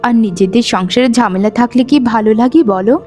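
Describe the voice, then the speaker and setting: A woman playing a patient, kind housewife in a cartoon moral story, with a gentle, coaxing way of speaking. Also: a little high, quick pace, lightly acted. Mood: patient, pleading. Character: patient, kind elder daughter-in-law and soft-spoken mother-in-law. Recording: music under the voice.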